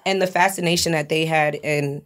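Lively new woman chatting on a podcast, lowish voice, acts out little bits. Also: fairly quick. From Long Island, New York. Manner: animated storyteller who acts out quoted voices. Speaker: podcast guest, actress. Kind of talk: casual chat.

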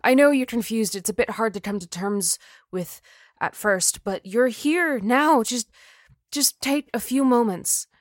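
Woman reading a deluded obsessive man, soft and imploring, slow, dry close read. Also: fairly high pitch, no echo, close to an everyday voice. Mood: pleading.

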